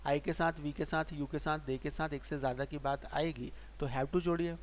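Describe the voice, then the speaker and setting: A man teaching English grammar in Hindi, patiently asking and answering his own questions and slowing down to spell out example sentences. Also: fairly high pitch, fairly even intonation. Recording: faint hiss.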